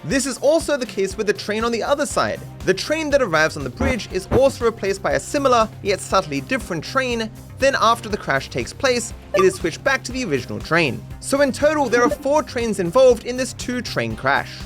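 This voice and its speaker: A man's voice: very high-pitched, very animated, a little fast, hardly any pauses, a bit bright. A man delivering scripted, comedic game commentary with a sardonic, mock-dramatic manner. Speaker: video host and narrator. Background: background music.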